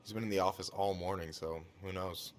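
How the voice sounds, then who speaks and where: A man's voice: deep voice, fairly even intonation, a little fast, a little hiss or hum, natural voice. An actor playing a friendly, easygoing young male store clerk, talking casually and wryly in game dialogue. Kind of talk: naturalistic video game dialogue.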